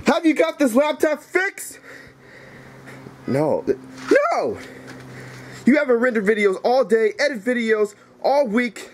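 A man voicing an angry, hot-tempered creature in a comedy skit, ranting and shouting complaints. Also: mid-range voice, a little hiss or hum, pitched below the performer's own voice.

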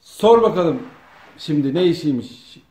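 A man's voice: a little high, animated, measured pace, dark tone. A man casually directing a comedy skit, prompting and instructing the others in a bossy way. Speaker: off-camera host directing a family comedy skit. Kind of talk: casual improvised skit banter. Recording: roomy echo with faint noise.

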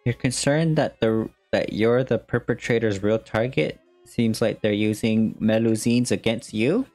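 A young woman with a very low-pitched voice plays through a game and comments casually. She reads dialogue aloud and drops in short reactions over faint music. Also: measured pace, dark tone. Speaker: gamer commenting on gameplay. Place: indoor room, on webcam.

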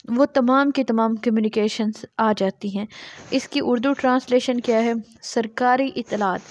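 High-voiced Pakistani woman lecturing slowly. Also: a little mellow, no room sound. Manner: explanatory, asking and answering own questions. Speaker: law lecturer. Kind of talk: lecture reading legal text aloud and explaining it.

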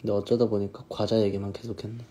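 A man in his thirties chatting casually on a phone live stream, answering viewers' questions in a relaxed, easygoing way. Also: on the low side, fast. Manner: relaxed, answers viewers' questions, drifts between snacks and hobbies. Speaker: singer hosting a live stream. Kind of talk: casual live-stream chat reading comments.

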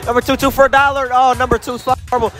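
A young man running a joking street interview in school hallways, firing off quick teasing questions into a handheld mic. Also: very high voice, little pitch movement, a bit bright, soft background music. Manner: playful, teasing, rapid-fire questions. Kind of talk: joking public interview and game commentary. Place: school hallways and gym, indoors.

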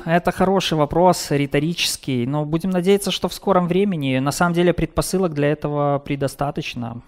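A man's voice: on the high side, a little fast, a little mellow. A man in his thirties giving a technical talk at a developer meetup and answering questions, with many filler sounds. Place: dim meeting room with a projector.

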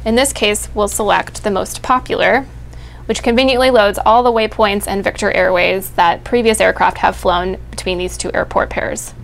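A woman presenting an aviation product in smooth scripted explanation. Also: medium pitch, fairly even intonation, moderate pace. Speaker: product demonstrator.

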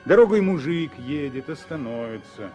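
A man narrating a 1960s radio drama as a lyrical, expressive storyteller with measured phrasing. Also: fairly high pitch, faint music behind, natural voice. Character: lyrical male storyteller of a civil war tale.

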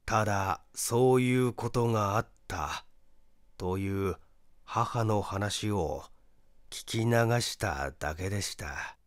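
Man playing a grave, low-voiced regretful brother. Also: slow pace, no echo, studio quality. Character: grave, regretful brother recounting a family mystery. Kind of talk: dramatic story reading.

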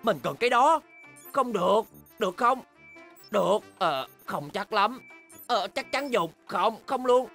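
A woman voicing an excitable, boastful young bear cub in a children's cartoon, with exaggerated, childlike delivery. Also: medium pitch, a little slow, soft background music. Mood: boastful, scheming. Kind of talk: animated children's cartoon dubbing.

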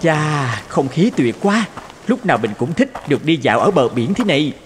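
Earnest strong young guy, fairy tale hero, lively pitch swings, music under. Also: normal speed. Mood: friendly. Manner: earnest, animated.

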